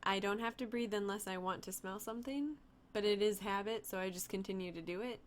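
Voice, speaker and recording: Woman reading a calm young vampire woman. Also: mid-range voice, a little fast, slight background noise, lightly acted. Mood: explaining. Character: young female vampire, calm and self-assured.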